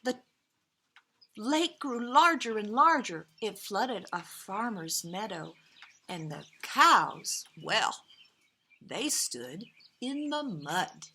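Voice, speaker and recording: A woman reading a picture book aloud to young children, outdoors, with dramatic pauses, emphasized key words and gentle asides to her listeners. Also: medium pitch, very animated, breathy edge. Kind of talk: expressive children's read-aloud.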